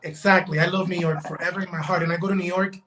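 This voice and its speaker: A man's voice: high-pitched, fairly even intonation, very fast, sharp tone. A young man from Guatemala, raised in Los Angeles, chatting as a guest on a video call, talkative, restarting sentences and saying "bro" and "man.". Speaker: guest, digital fashion designer. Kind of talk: casual interview chat.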